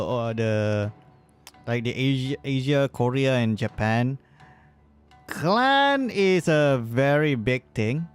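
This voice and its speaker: A man's voice: mid-range voice, clean tone. A man giving casual, rambling live commentary on a game stream, swearing freely at times.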